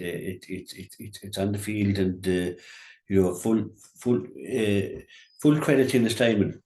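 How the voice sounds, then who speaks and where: A very deep-voiced man with a County Clare accent talks through local sport off the cuff. He stumbles over words, repeating and restarting as he goes. The sound is echoey and roomy. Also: dark tone. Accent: Irish, County Clare. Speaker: local radio sports pundit. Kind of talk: unscripted GAA sports commentary on local radio.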